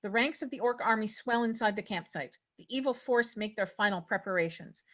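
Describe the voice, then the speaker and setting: A woman's voice: mid-range voice, a little fast, fairly bright tone. A woman reading stage directions as the narrator at a script table read.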